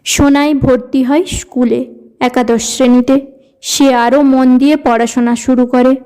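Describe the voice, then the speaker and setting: A woman's voice: high-pitched, fairly even intonation, a little slow, mellow, clean tone, poor audio. A woman narrating a scripted cartoon story in a storybook style.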